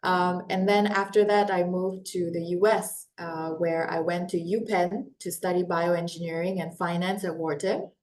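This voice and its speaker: A woman's voice: fairly low pitch, level tone, moderate pace, few pauses, slight background noise. A woman in her forties, born in Korea, hosting a live webinar with an upbeat, welcoming manner and frequent fillers like "um" and "uh". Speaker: webinar host and company founder. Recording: echoey room.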